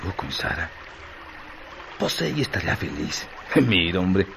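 A deep, brooding, domineering Mexican rancher. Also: male voice, big pitch swings, measured pace, heavily acted. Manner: colloquial, brooding, commanding. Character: bored, scornful, domineering young rancher musing to himself. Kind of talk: radio drama acting. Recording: clearly noisy radio drama track.

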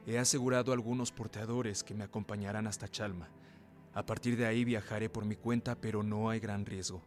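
An actor playing a resolute, courteous middle-aged revolutionary in a radio drama, speaking formally and firmly. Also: male voice, on the low side, little pitch movement, a little fast, soft background music, natural voice. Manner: formal, firm.

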